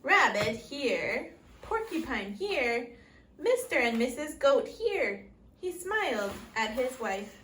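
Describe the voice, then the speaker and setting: A woman's voice: sits high, very animated, slow pace, a bit bright. A woman teaching young children English through an interactive read-aloud, using simple short phrases and prompting questions. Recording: echoey room, distant mic, faint noise.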